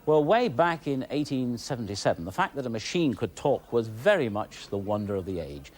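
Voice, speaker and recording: A man's voice: medium pitch, sing-song, a little fast, heavy background noise. A man with a British accent presenting and explaining things in a documentary style. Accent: British English. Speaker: television presenter.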